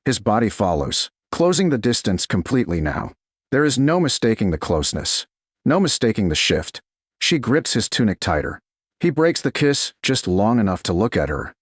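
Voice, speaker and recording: A man narrating a scripted romance story in a measured, deliberate, dramatic way, phrase by short phrase. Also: lowish voice, very animated, breathy edge, no room sound, studio quality. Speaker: audiobook narrator.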